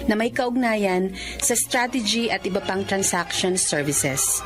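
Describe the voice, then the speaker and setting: A woman's voice: medium pitch, lively intonation, unhurried, close to an everyday voice. A woman in her twenties playing a young accountant and devoted daughter in a Filipino radio drama, earnest and polite. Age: 20s. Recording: radio drama with music behind.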